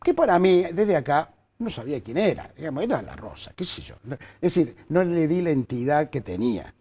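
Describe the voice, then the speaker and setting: A man's voice: a little high, fairly quick, breathy edge. An older man from Córdoba with an Argentine accent, telling career anecdotes as an interview guest with lots of fillers and restarts. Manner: animated storyteller with frequent fillers and restarts. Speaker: journalist guest. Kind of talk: anecdotal storytelling in conversation.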